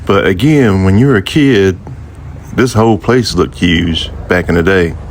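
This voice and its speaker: A man's voice: sits low, a little slow, a little hiss or hum. A man in his fifties with a Southern American accent, telling a personal story casually and talking straight to his viewers in a folksy, conversational way. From Jacksonville, United States. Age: 50s. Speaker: vlogger. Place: outdoors by an old building near a highway.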